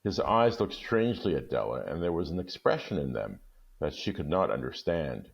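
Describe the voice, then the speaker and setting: Deep, gravelly male storyteller. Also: moderate pace, muffled recording. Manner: expressive, lively. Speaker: story reader. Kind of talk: storytelling narration.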